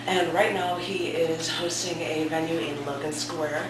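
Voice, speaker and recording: Low-ish scratchy lady hosting an open mic, far from the mic, loud cafe noise. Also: unhurried. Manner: chatty, self-deprecating, lively greetings. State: scratchy throat, losing voice. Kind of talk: casual hosting, then poetry reading. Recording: echoey room from far off.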